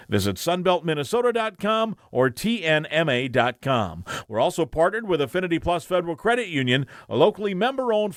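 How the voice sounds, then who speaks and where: A man hosting a podcast, interviewing with encouraging remarks and reading a scripted outro with sponsor messages. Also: high voice. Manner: encouraging, brief affirmations. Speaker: podcast host.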